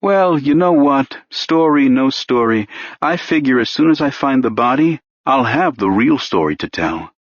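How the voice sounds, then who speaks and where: A man's voice: mid-range voice, lively intonation, moderate pace, no room sound, pitched above the performer's own voice, natural voice. A man voicing a male police detective who presses and mocks a suspect, speaking in a pushy, sarcastic way in an audiobook reading. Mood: pressing. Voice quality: mocking, pushy. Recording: dull, lo-fi dry recording.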